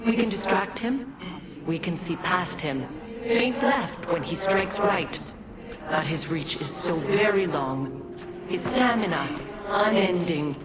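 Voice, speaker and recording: An actress playing an ancient, cryptic spirit in a video game, speaking in measured, archaic, commanding prophecy. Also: female voice, fairly low pitch, expressive, heavy background noise. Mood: solemn, foreboding. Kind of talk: video game cutscene acting. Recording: heavily echoing voice under loud music.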